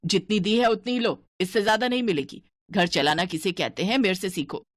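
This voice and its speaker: A woman's voice: medium pitch, a little fast, no room sound, studio quality, natural voice. A woman voicing a stingy, scolding older mother-in-law in a cartoon story. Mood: bossy. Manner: bossy, penny-pinching, sharp-tongued.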